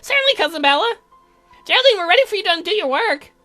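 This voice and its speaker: A ghoulish, man-eating young lady cousin acted out by a man from a horror game. The voice is extremely high and bright, rattling along quickly with gleeful, theatrical eagerness. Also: very animated, soft background music, full character voice. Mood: prim, helpful.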